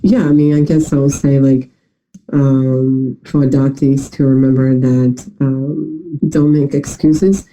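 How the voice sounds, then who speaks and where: A woman from Russia with a New York-sounding American accent, a bit nasal from a recent cold, speaking earnestly with many pauses and fillers in a video-call interview. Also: rumbling low, steady tone, warm tone, rough recording. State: slightly nasal from recent illness. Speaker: interview guest, adoptee.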